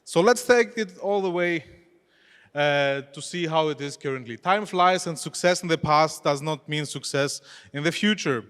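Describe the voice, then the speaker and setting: A man's voice: on the high side, moderate pace, a bit bright, clean tone. A man whose first language is Greek, giving a chatty conference presentation on stage and putting questions to the audience in loosely phrased English. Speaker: conference speaker, head of e-commerce. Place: expo hall stage. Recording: long reverb of a large hall.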